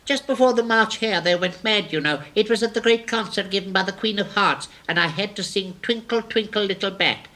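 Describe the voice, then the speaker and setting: Mad hatter for a vintage storybook drama, acted out: forceful, sharp tone, brusque, contradicting, grandly theatrical. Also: mid-range voice, natural voice. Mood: boastful storytelling. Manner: quick to contradict, pompous, theatrical. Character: mad, brusque, argumentative hatter at a tea party. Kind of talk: 1950s recorded storybook dramatisation.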